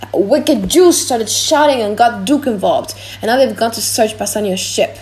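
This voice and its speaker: A woman playing a gossipy, excitable young man in conversational audiobook drama dialogue. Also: fairly high pitch, normal speed, lightly acted.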